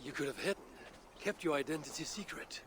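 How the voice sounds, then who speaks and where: An actor playing a self-assured, stern and wry Norse god with a gravelly voice, in a video game cutscene. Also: male voice, on the high side, animated, a little fast, background noise, close to an everyday voice. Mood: steady, resolute.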